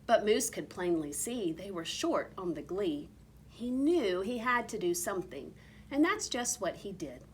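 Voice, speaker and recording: Female storybook reader, unhurried and highly varied in pitch, with theatrical emphasis. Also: sing-song, a little hiss or hum. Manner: theatrical, drawn-out emphasis on repeated words. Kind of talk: expressive picture-book read-aloud.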